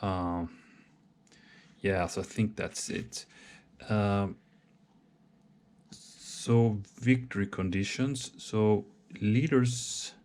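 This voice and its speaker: A deep-voiced man talks through a board game setup on his own, slowly and without hurry. He thinks aloud, hesitating, pausing and restarting his sentences as he works things out. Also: a little mellow. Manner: hesitant, many pauses and restarts. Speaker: solo board game player. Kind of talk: thinking aloud while setting up a wargame.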